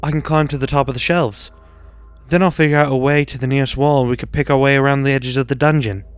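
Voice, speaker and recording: A man's voice: medium pitch, fairly even intonation, quick pace, clean tone, faint music behind, close to an everyday voice. A man playing a practical, weary teenage schoolboy wizard in an amateur fan-fiction audio drama. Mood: matter-of-fact.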